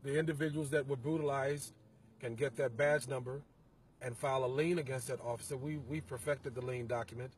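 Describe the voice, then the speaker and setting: A middle-aged man, an interview guest, explaining his organization's process in a measured way from the passenger seat of a car, recorded on a phone. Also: mid-range voice, steady tone, a little hiss or hum. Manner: measured, explanatory, some halting restarts. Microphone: phone camera held by the interviewer.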